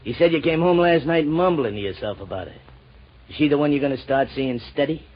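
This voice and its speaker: An actor playing a slangy, money-minded junior crook in a 1940s radio crime drama. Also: male voice, on the high side, animated, brisk. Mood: nosy, needling. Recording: muffled vintage radio recording.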